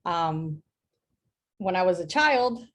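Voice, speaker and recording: A woman from the Dominican Republic, a software engineering director, telling students about her childhood in a calm, measured way. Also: fairly low pitch. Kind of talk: casual class chat with students.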